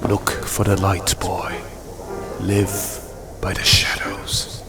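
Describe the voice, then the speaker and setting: A man playing a mysterious, knowing stranger with a measured, cryptic, genteel manner in an audio drama. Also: deep voice, monotone. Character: mysterious shadowy male stranger, unhurried, knowing, ominously genteel. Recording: music under the voice. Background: loud music.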